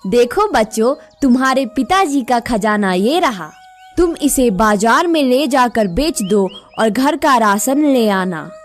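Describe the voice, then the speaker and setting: A patient, earnest village widow played by a woman narrator from a cartoon moral tale. She instructs her grown sons slowly, in a raised, high voice. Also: lively intonation, faint music behind. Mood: gentle, instructing.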